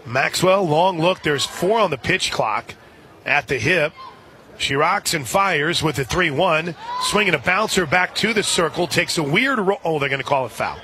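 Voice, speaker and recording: High-pitched man calling softball on radio. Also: animated, moderate pace, background noise. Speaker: radio play-by-play announcer. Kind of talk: live softball radio commentary. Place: outdoor softball stadium broadcast. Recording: crowd and music behind. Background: stadium crowd, music.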